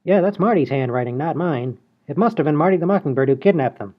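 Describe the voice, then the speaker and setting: A man's voice: fairly high pitch, expressive, fast, lightly acted. A man doing a cocky small-time crook. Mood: scheming. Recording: dull lo-fi audio.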